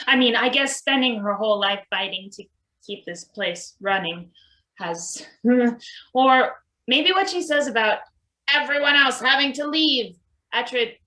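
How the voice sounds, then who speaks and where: A woman playing a mild, weary maintenance worker in a stage play reading, halting and understated. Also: fairly high pitch, bright, forceful tone, heavily acted, poor audio. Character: hesitant, mild-mannered, weary male maintenance worker named Izzy. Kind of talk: live table read of a satirical stage play.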